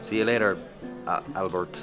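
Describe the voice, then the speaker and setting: A man's voice: low voice, fairly even intonation, moderate pace, music in the background, close to an everyday voice. An actor with an Irish accent playing a roguish, down-on-his-luck space captain in an audio comedy, flippant and rueful. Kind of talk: audio sitcom acting.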